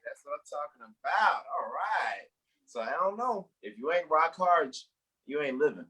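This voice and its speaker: A man hosting a comedy show, hyping up comedians in slangy, excited introductions. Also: fairly high pitch, normal speed, husky. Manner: slangy, hyped-up. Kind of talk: hype emcee introducing comedians.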